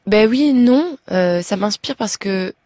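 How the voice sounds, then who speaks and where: A woman's voice: mid-range voice, expressive, slow pace, darkish tone, no room sound. A young woman from Belgium with a Belgian French accent, a singer as a radio interview guest, talking hesitantly with many restarts. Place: radio studio.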